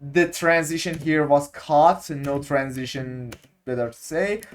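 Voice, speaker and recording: A man explaining video editing effects, speaking in halting English with many pauses and fillers as he searches for words. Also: fairly high pitch, slight background noise. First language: Persian. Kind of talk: unscripted screen-recorded tutorial.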